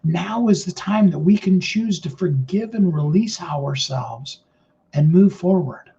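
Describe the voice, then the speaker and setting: A middle-aged man speaks in a naturally high voice, earnest and rambling, piling up fillers as he circles his point. His tone is soft and mellow. The recording sounds muffled and lo-fi. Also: lively intonation, unhurried, gravelly. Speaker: motivational speaker. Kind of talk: casual motivational talk.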